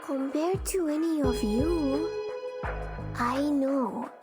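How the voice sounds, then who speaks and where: A woman's voice: sits high, slow. A woman playing a proud, eccentric young woman in a video game, with a theatrical drawl. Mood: boastful, morbid. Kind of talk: scripted video game voice acting. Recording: loud background music.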